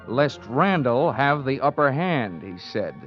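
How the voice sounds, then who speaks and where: Wry, hard-boiled radio detective. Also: male voice, mid-range voice, sing-song, a little slow. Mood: wry narrating. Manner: wry, tough, slangy. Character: hard-boiled wisecracking male insurance investigator. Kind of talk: 1950s radio detective drama acting and narration. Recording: dull old radio recording with music.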